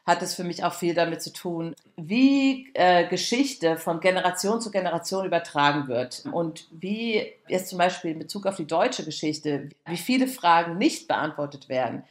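A woman artist with a fairly low voice, recorded in a clearly echoey room. She talks reflectively as an interviewee, rephrasing as she goes in long, loose sentences. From Frankfurt, Germany. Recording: roomy echo around the voice.

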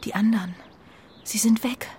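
An actress playing a proud but shaken young homing pigeon in a children's radio play, earnest and hesitant, often dropping into a hush. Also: female voice, on the high side, normal speed, a little hiss or hum, lightly acted. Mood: worried.

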